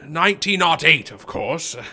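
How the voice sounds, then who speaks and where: A man playing a confused, rambling history professor in a radio play, halting and stammering. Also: on the high side, big pitch swings, bright, forceful tone, full character voice. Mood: bewildered.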